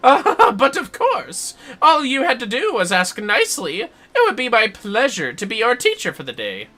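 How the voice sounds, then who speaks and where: A flamboyant shinobi villain from a game, acted out by a woman, taunts with grand theatrical relish. His voice rides high and swings widely in pitch, with a bright, forceful edge. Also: huge pitch swings, normal speed, soft background music, full character voice. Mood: gleeful taunting. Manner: grandiose, taunting, talks in third person. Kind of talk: theatrical game dialogue read aloud.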